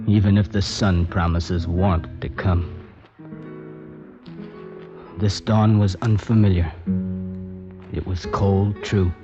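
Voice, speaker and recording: An actor playing a desperate poet searching for his wife, who also narrates in a 1950s radio drama. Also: sits low. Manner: pleading and frantic in scenes, measured when narrating. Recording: dull old radio recording with music under narration.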